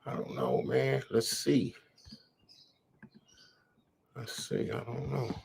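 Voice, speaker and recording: A man's voice: medium pitch, sing-song, slow pace, gravelly, a little mellow, breathy edge. A man hosting his channel, rambling through casual commentary on clips with lots of fillers. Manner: rambling, drawling, fillers like "man" and "you know". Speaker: channel host reacting to clips.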